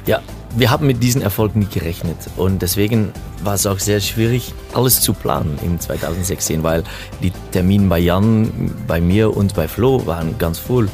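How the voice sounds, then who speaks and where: A low-pitched man with a gravelly, creaky voice and a noticeable accent, chatting casually as a band member in an interview. He talks haltingly, repeating words while he looks for the phrase. Faint music plays behind him. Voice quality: speaks with a non-native accent. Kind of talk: casual chat.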